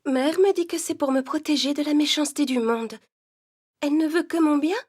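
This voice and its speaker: Woman playing a high-voiced timid young maiden. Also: brisk, a little mellow, close to an everyday voice. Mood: curious, guarded. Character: timid young maiden locked in a tower, anxious, obedient. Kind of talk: children's cartoon fairy tale acting. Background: music.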